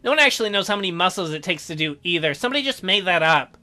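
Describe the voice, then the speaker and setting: A man hosting a let's play, reading a visual novel aloud with expressive, wisecracking commentary. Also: high voice, rapid-fire. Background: music.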